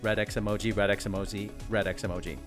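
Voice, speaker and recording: A man co-hosting a podcast, reading scripted news items in a wry, expressive way. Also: on the low side, fast, faint music behind. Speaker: podcast co-host.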